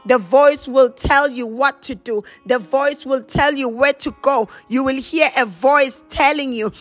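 A woman's voice: high voice, unhurried, a bit bright, soft background music. A woman preacher delivering a charismatic prayer sermon, exhorting with repeated emphatic phrases and bursts of chanted glossolalia.

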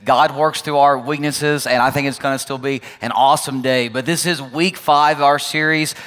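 A male pastor with a hoarse, laryngitis-strained voice, giving chatty, upbeat welcome announcements to his congregation and online viewers. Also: mid-range voice, fairly even intonation, normal speed, fairly bright tone. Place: large church sanctuary with congregation. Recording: long church reverb, faint music.

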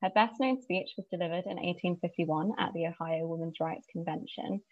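A woman's voice: on the low side, dry recording, narrow, tinny audio. Earnest woman reading a prepared tribute. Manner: measured, earnest, reading from notes. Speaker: speaker presenting an inspiring woman.

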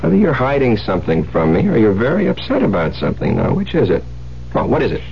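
An actor playing a suspicious, controlling new husband with veiled menace in a 1970s radio drama, pressing with insistent questions. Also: male voice, medium pitch, wildly swooping pitch, quick pace, gravelly. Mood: angry, pressing. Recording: muffled, noisy 1970s radio recording.

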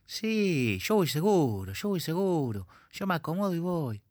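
A man's voice: a little high, sing-song, unhurried, darkish tone, no room sound, studio quality. A man with an Argentine accent playing an eager, sentimental middle-aged former classmate. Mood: gushing.

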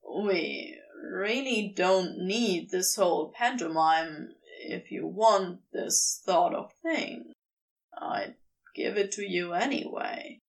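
A woman voicing a wry, pleading male lover in fan fiction, with a flat, drawn-out delivery. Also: fairly low pitch, vocal fry, far from the mic, voice pushed down, full character voice, low-quality recording. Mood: careful, gentle. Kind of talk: fan fiction audiobook character voice.

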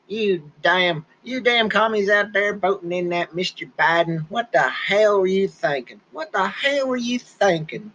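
A man's voice: high voice, sharp tone, a little hiss or hum, poor audio. A man playing a ranting bear podcaster. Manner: rambling hick grammar, angry ranting. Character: gruff grumbling male bear podcaster, ranting. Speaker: podcast host.

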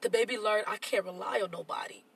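Congested nasal woman, gravelly and husky. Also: medium pitch, fast, fairly bright tone, recorded from a distance. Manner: reflective, explains at length. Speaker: podcast co-host. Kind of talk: casual chat.

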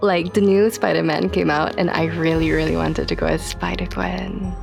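A woman's voice: on the low side, steady tone, moderate pace, vocal fry, music in the background. A young woman chatting casually as a podcast guest, in a playful, slangy way. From Singapore. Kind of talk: casual interview chat.

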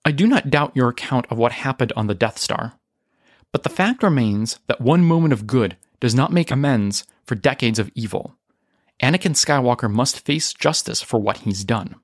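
Guy playing a stern rebel leader lady, formal n measured, audio drama, dry recording. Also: mid-range voice, lively intonation, dry recording, lightly acted. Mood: firm, formal. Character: composed, principled, firm female rebel leader. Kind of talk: audio drama character reading.

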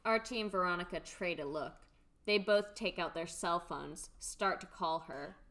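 Female narrator, lower register, reading screenplay scene directions for a radio drama. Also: normal speed, a bit bright. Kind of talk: scripted narration of a radio play script.

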